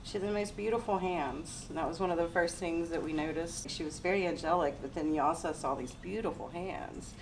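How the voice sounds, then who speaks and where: A middle-aged woman from Louisiana speaking gently about her daughter in an interview. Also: lowish voice, a little fast. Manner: gentle, laughs between thoughts. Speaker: interviewee, mother. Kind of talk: casual interview. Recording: distant mic, noisy ambience.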